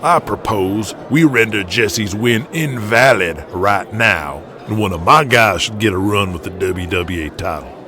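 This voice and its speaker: A man voicing a belligerent, crotchety old Southern wrestling promoter in an audio drama, gruff and folksy with his cursing. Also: lowish voice, expressive, a little slow, hardly any pauses, sharp tone. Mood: indignant.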